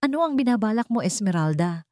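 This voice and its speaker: A woman acts out an angry, defiant man in a radio drama. His delivery is forceful and emphatic, raised in pitch. The recording is dry. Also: animated, fast talker, no echo, lightly acted. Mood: angry defiance. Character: angry, defiant adult stepson standing up to villainess. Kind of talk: Tagalog radio drama storytelling.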